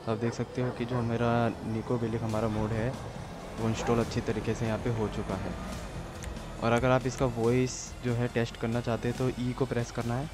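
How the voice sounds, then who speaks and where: A man's voice: mid-range voice, flat delivery, fairly quick, heavy background noise. A man with an Indian accent narrating a casual gaming tutorial step by step, mixing in English words and repeating himself. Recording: loud music behind the voice. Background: loud music.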